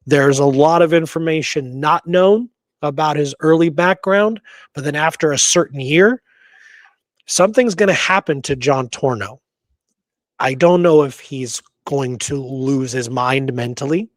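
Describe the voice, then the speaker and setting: A man's voice: on the high side, measured pace, no room sound. An American man from the Pacific Northwest co-hosting a casual history discussion, informal and emphatic, repeating words for stress. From Pacific Northwest, United States. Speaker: show co-host and history researcher.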